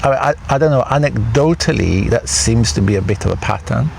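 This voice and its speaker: Man, Italian native, low gravelly voice, hesitant thoughtful interviewer, faint outdoor traffic. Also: animated, fairly quick, a little mellow. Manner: thoughtful, hesitant, many restarts. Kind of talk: casual conversational interview. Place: outdoors in a garden.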